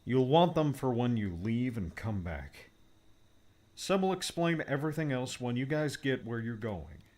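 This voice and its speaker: A man doing a brisk, instructive mentor. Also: mid-range voice, sing-song, darkish tone, voice pushed up.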